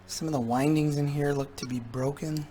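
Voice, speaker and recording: Musing man, higher voice, noisy recording. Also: steady tone, warm tone. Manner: musing, halting, thinking aloud. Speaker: repair vlogger. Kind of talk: casual hands-on workbench commentary. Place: indoor repair workshop.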